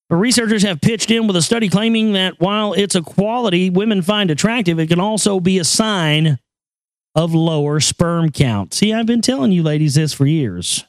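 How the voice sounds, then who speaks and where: A man with a high voice hosting a casual talk show, joking crudely and rambling over news items. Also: no room sound. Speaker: show host.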